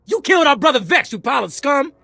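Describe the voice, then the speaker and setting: High, hot-headed gangster. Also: female voice, big pitch swings, fast, gravelly, sharp tone, dry recording, faint music behind, heavily acted. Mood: furious accusation. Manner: aggressive, confrontational. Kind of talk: video game cutscene acting.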